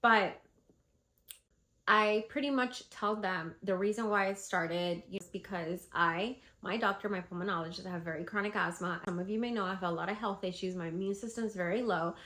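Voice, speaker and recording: Female vlogger with a head cold, congested and chatty, middle-pitched voice. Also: normal speed. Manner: chatty, animated, lots of fillers. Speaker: vlogger and candle seller. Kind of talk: casual advice vlog.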